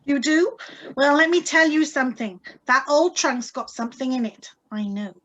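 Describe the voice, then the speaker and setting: A woman plays an excitable wife in a radio suspense play. Her voice is high, and she is stubborn, eager and wide-eyed in her insistence. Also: normal speed, lo-fi sound. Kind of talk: radio drama script reading. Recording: live video-call recording.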